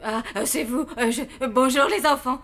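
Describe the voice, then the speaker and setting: A woman voicing a nervous, evasive, overprotective mother with a halting manner in a French children's cartoon. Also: high-pitched, very noisy. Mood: flustered. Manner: hesitant, halting, flustered.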